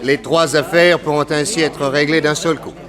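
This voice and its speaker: A man's voice: on the high side, steady tone, moderate pace, a bit bright, lightly acted. A man playing a suave, confident, commanding detective in a French radio crime drama. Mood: genial, gallant.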